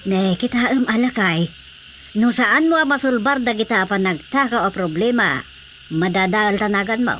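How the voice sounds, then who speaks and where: An actress playing a nagging wife in an Ilocano radio drama comedy, speaking with an Ilocano accent. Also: female voice, mid-range voice, normal speed, a bit bright, soft background music, natural voice. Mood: scolding. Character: nagging wife pressing her husband, the village leader.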